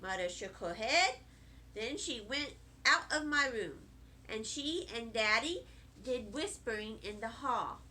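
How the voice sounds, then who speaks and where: High, animated woman reading a children's story. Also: takes it slowly, slight background noise. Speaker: storybook reader. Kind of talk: expressive children's story narration.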